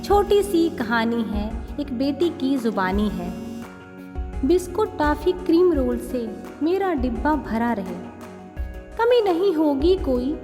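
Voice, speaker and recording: A high, very lively woman's voice presents poetry and stories with expressive, deliberate phrasing. It rings in a long reverb, with music playing behind. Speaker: host of a story and poetry show. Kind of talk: expressive poetry recital and audience address.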